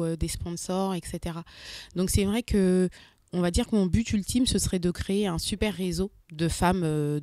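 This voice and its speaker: A woman in her thirties from France, a guest in an interview, speaking casually with many hesitations and pauses. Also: on the low side, mellow, dry recording, clean studio sound.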